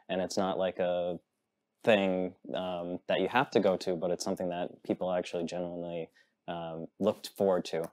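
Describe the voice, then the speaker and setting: A man's voice: deep voice, a little mellow, dry recording. A man in his thirties from Massachusetts, speaking earnestly as a new faculty member on a studio panel, with frequent ums. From Massachusetts, USA. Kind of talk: panel discussion. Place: television studio set.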